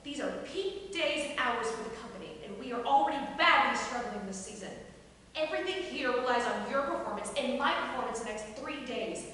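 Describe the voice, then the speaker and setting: A woman's voice: fairly high pitch, animated, fairly quick, a bit bright, slight background noise. A woman acting a pressuring, pleading workplace boss in a table reading of a stage play. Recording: echoey hall, distant mic.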